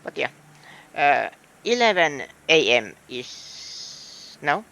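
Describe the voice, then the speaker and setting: A man's voice: fairly high pitch, very animated, slow, creaky, sharp tone. A man with a Finnish accent, thinking aloud in a hesitant way as he comments on a game. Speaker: streamer. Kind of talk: casual gameplay commentary.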